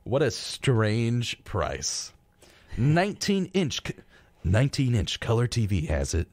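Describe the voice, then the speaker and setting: A man's voice: mid-range voice, huge pitch swings, slow, darkish tone, no room sound. A man co-hosting a live radio show in a casual, chatty, rambling way, tossing in wry reactions, close on a studio microphone.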